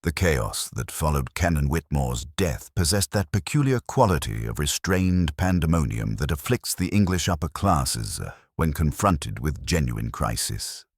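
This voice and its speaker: A man reading a scripted mystery story as an audiobook narrator, in a measured, literary and dramatic storytelling manner. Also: extremely low pitch, very animated, slightly rough, dry recording, studio quality.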